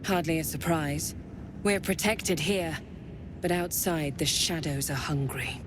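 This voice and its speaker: An actress playing a seductive, menacing female commander of a dark cult in a video game, sultry and commanding in manner. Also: deep voice, measured pace, noisy background.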